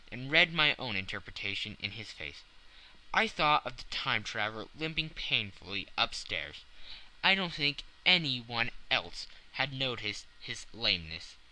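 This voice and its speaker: A man's voice: medium pitch, bright, forceful tone, rough recording. A man narrating classic fiction as a dramatic scripted audiobook.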